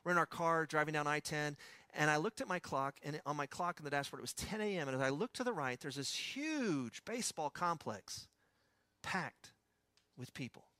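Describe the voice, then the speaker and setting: A pastor with a West Texas accent preaching a sermon in a conversational storytelling way, with personal asides. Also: male voice, on the high side, lively intonation, moderate pace, pushed and bright, dry recording.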